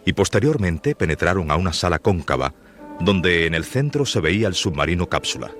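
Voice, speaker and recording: A man narrating a scripted radio drama in a formal storytelling manner. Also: sits low.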